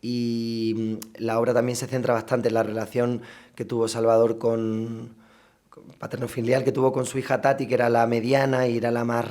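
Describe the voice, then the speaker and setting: Mellow, even-toned male actor in interview. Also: medium pitch. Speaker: stage actor. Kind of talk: reflective interview talk about stage work.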